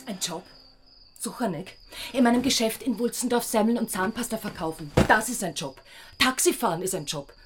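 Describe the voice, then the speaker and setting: A woman's voice: mid-range voice, normal speed, over loud music, natural voice. An actress playing an exasperated, sarcastic girlfriend with an Austrian accent and an emphatic, scolding manner in a radio drama. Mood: scornful.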